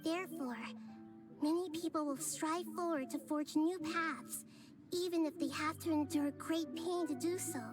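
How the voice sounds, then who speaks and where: A gentle, wise young goddess speaks in a high, soft and thoughtful voice. She sounds calm and earnest, with game music playing behind her. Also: measured pace. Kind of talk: game cutscene voice acting.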